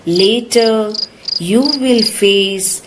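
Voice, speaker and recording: A woman narrating a scripted story for young children, slowly and simply, as a storyteller. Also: medium pitch. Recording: loud noise of birds and crickets behind.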